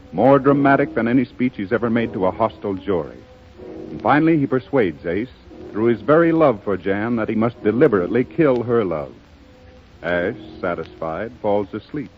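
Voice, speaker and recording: A man's voice: lowish voice, animated, normal speed. A man giving scripted, dramatic narration for a 1930s radio play. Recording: muffled lo-fi 1930s radio broadcast. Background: faint music.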